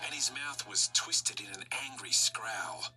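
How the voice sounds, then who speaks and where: A man narrates a children's storybook with animated, widely varied intonation. His scripted reading plays over clearly audible music. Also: on the low side, measured pace, poor audio.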